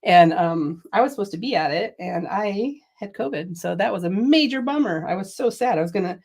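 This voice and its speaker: A woman's voice: medium pitch, expressive, brisk, a little mellow. A middle-aged woman hosting a casual craft product livestream, chatty and laughing often, a little sniffly from a cold. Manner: chatty, laughs often, reads viewer comments. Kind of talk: casual product demo chat.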